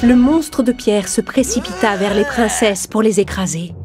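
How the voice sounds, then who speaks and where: A woman's voice: medium pitch, normal speed. A woman narrator reading a scripted fairy tale for children. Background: background music.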